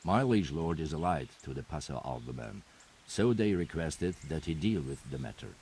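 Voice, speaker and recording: An actor playing a stern knight who calmly reports on forged coins in a video game cutscene, speaking bluntly and without fuss. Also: male voice, a bass voice, moderate pace, creaky, slight background noise, heavily acted. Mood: terse report. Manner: blunt, matter-of-fact reporting. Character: grim male knight investigating counterfeiters.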